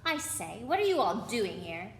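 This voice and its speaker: A woman's voice: mid-range voice, very animated, moderate pace. A woman voicing an indignant, fussy male ghost from a storybook, speaking with exasperated emphasis. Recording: echoey room with background noise.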